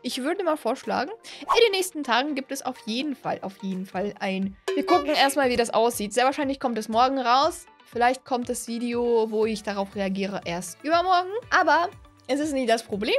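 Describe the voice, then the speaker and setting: High-voiced young woman, gushing game vlogger. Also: expressive, a little fast, faint music behind. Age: 20s. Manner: gushing, repeats words and phrases. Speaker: gaming video host. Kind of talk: excited casual reaction commentary to camera. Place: small indoor streaming room. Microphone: close studio microphone on a boom arm.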